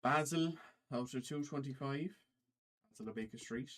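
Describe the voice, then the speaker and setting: A man hosting a trading card livestream in a put-on Irish accent, casually calling out cards in a halting way and often repeating himself. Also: mid-range voice, fairly bright tone.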